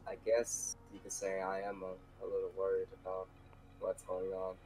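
Man as troubled gentle demon, lowish male voice, flat intonation, hesitant, subdued, anime roleplay. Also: normal speed, slight background noise, close to an everyday voice, rough recording. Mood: worried. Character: worried, peace-seeking demon. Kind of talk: improvised anime game roleplay. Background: faint music.

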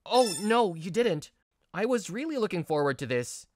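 A woman's voice: medium pitch, moderate pace, slight background noise, close to an everyday voice. A woman voicing an earnest, stressed young male office worker, narrating and acting in a manga video. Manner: earnest, expressive. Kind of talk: first-person narration and dialogue in manga video.

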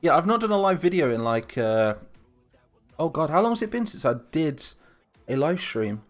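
A man's voice: fairly high pitch, big pitch swings, moderate pace. A man with a Lincolnshire English accent, casually chatting and reading out viewer comments during a live stream while building a game map. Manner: chatty, rambling, reads chat comments aloud.

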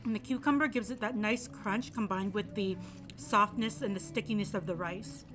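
A woman's voice: medium pitch, normal speed, over music. A young woman vlogging a casual food review at a restaurant table, talking through each bite. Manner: chatty, describes each bite. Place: restaurant patio, outdoors. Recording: noisy patio ambience.

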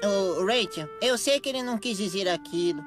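Uma atriz dublando um jovem wallaby de desenho animado, pequeno, ansioso e bondoso, que fala suplicante e sincero. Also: female voice, mid-range voice, expressive, normal speed, over music, lightly acted. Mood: pleading. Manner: pleading, earnest. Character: small, anxious, kind-hearted young male cartoon wallaby. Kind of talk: cartoon dub acting.

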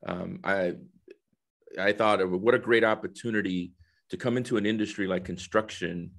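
Guy in his 50s, lowish voice, guest on an interview call, explains stuff kinda haltingly. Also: fairly even intonation, vocal fry, a bit bright. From El Salvador, raised in the United States. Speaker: guest, corporate diversity executive. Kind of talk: conversational interview answers. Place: indoor office, video call. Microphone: headset on a video call.

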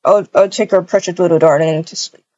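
A woman plays an easygoing, affectionate dad in a game roleplay. The voice is pushed low and slightly dull in the recording, with halting, repeated words. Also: steady tone, a little mellow, dry recording, natural voice. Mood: easygoing, caring.

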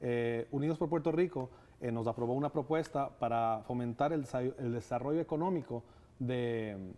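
A man's voice: medium pitch, normal speed, dark tone. A man in his thirties from Ecuador, a guest in a TV studio interview, speaking earnestly with many filler sounds. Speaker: guest, nonprofit director. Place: TV studio set. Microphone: lapel mic.

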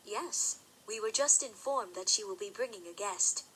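A woman's voice: medium pitch, normal speed, sharp tone, a little hiss or hum, natural voice, poor audio. A woman acting a stern, regal pony princess in a cartoon audio drama. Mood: calm.

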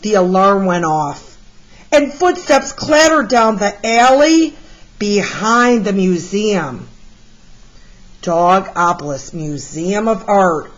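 A woman reading a children's picture book aloud as a storyteller, with deliberate, expressive delivery. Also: medium pitch, dull audio. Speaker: read-aloud storyteller, art teacher.

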